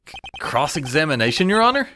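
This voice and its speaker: A man's voice: fairly high pitch, big pitch swings, fast talker. A man playing a young, earnest and nervous rookie defense lawyer in a courtroom game fan dub, over music. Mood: nervous, confused. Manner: jumpy and hesitant, turning emphatic.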